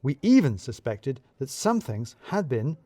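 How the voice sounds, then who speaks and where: A man's voice: mid-range voice, sing-song, measured pace, dark tone, dry recording. A man narrating a scripted first-person audio drama in a wry, conversational, confiding way.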